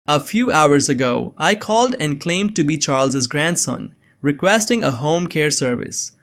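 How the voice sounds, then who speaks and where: A man playing a nerdy, anxious young man on the run, narrating a suspense story in a measured, earnest way. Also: fairly high pitch, creaky, exaggerated voice. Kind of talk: first-person scripted narration of suspense fiction. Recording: music behind the voice.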